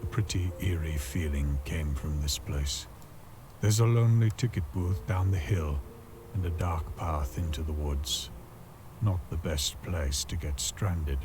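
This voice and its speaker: An actor narrating a gothic adventure game as a brooding, weary storyteller, in a slow, ominous, literary manner. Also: male voice, extremely low pitch, noisy background. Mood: brooding, uneasy. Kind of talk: scripted dramatic narration. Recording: music behind the voice.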